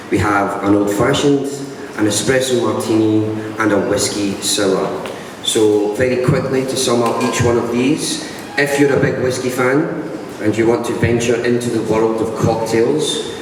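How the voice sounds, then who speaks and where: A man with a Scottish accent presents to a crowd at a launch event, wry and self-mocking as he talks. He sounds distant from the microphone, and his voice echoes around a large hall with a long tail of reverb. Steady background noise sits under the speech. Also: on the low side. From Scotland. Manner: dry self-deprecating humour.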